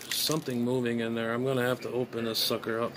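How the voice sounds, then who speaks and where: Middle-aged male hobbyist vlogger, rambling and muttering as he thinks aloud, medium pitch, phone audio with noticeable noise. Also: little pitch movement, fast talker. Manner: rambling, muttering to himself while handling items. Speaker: amateur radio hobbyist and vlogger. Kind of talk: casual unboxing vlog, thinking aloud. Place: small bedroom, indoors. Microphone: phone propped at arm's length. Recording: clear background noise, room ambience.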